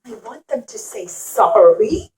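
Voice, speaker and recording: Woman as high-voiced, forgiving God for children. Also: big pitch swings, slow pace, darkish tone. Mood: gently reproachful. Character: God, male, reproachful yet forgiving, addressing a prophet.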